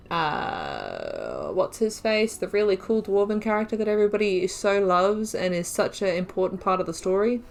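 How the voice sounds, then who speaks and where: A woman casually commenting on a game playthrough, thinking aloud, trailing off and correcting herself as she reads through in-game notes. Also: mid-range voice. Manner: musing, thinking aloud with fillers and self-corrections. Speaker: gaming video creator.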